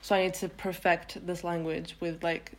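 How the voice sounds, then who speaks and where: A young woman from Turkey, a native Turkish speaker, talking casually as a podcast guest, often pausing and restarting her sentences. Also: lowish voice, level tone. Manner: hesitant, many fillers and self-restarts. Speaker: guest poet.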